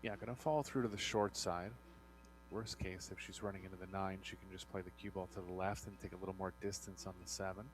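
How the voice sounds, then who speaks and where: A man with a low voice works as the analyst on a billiards broadcast. His remarks are technical, clipped and matter-of-fact. There is a faint mains hum underneath. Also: steady tone, a little fast, poor audio. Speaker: sports co-commentator, analyst.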